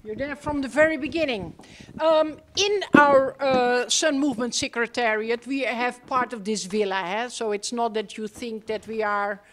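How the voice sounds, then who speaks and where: Woman, high-pitched, event host, informal prompting, handheld mic, large room. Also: slight background noise. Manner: informal, prompting the audience with quick questions. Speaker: event host introducing team members. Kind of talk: live panel introductions. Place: large ornate room with seated audience. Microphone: handheld microphone.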